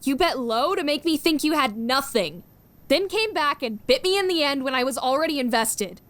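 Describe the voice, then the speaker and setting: A woman's voice: high-pitched, expressive, a bit bright. A woman playing a competitive, anxious teenage girl who muses over a card game in a comic dub. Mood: indignant. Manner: deliberate, musing to self. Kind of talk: webcomic fan dub acting with inner monologue. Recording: roomy voice with music behind.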